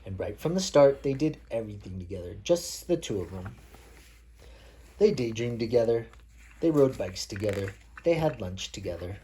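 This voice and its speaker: A man reading picture books aloud as a father at bedtime, playfully pausing to chat with his child. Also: lowish voice, animated, mellow, a little hiss or hum. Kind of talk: read-aloud picture book storytelling mixed with casual chat.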